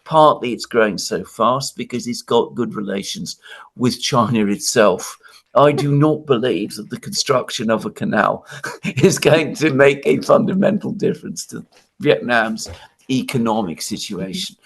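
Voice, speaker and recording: Animated Greek-born man, halting restarts. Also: mid-range voice, creaky, slightly rough. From Greece. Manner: animated, halting restarts, laughs between points. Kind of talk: casual analytical discussion.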